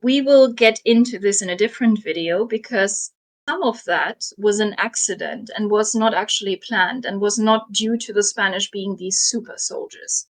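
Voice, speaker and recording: A woman's voice: mid-range voice, normal speed. Woman telling history, chatty with ums. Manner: frequent ums and soft laughs. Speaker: guest storyteller. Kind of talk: casual history storytelling.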